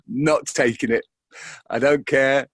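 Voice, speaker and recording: A man, an actor being interviewed, talking reflectively and casually in a halting way, often repeating words as he thinks. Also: fairly high pitch, fairly bright tone, breathy edge, no room sound.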